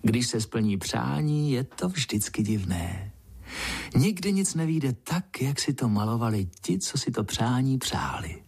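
A man's voice: mid-range voice, sing-song, darkish tone, breathy edge. A man voicing a playful, curious wind in a children's storybook, with a breezy, musing manner. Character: whimsical playful wind, curious granter of foolish wishes.

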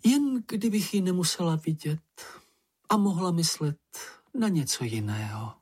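A storybook reader voicing a practical, sweet, slightly vain young female creature with a brisk, ladylike manner. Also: medium pitch, lively intonation, a little mellow, breathy edge, voice pushed up. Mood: composed.

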